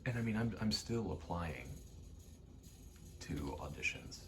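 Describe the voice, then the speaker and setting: A soft-spoken man rambling casually in ASMR style, hesitant and pausing often between short phrases. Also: fairly low pitch, expressive, soft background music. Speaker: ASMR vlogger and aspiring actor.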